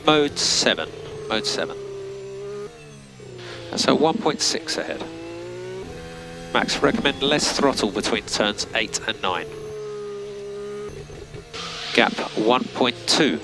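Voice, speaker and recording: A man's voice: medium pitch, expressive, slow pace, pauses often. A man working as a race engineer, giving clipped, matter-of-fact instructions and timing figures over team radio. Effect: team radio transmission. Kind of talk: terse race radio instructions and data readouts. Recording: noisy motor racing radio, vehicle noise.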